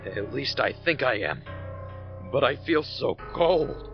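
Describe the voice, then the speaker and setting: A man playing a wary, frightened soldier in an audio drama, speaking in a halting, brooding way. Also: medium pitch, big pitch swings. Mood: weak, uneasy. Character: wary, frightened male soldier reasoning his way out. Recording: dull, lo-fi sound under loud music.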